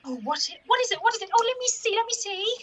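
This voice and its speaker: A cheeky young pantomime daughter, acted by a woman, high-pitched and excitable. There is faint noise behind her. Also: fast talker. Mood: excited. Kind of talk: pantomime radio acting.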